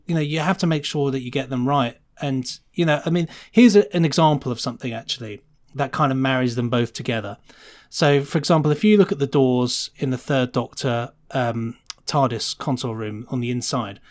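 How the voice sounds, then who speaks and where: A man's voice: mid-range voice, fairly quick. A man talking casually and enthusiastically as the guest on a podcast interview. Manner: enthusiastic, chatty. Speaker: guest sound designer.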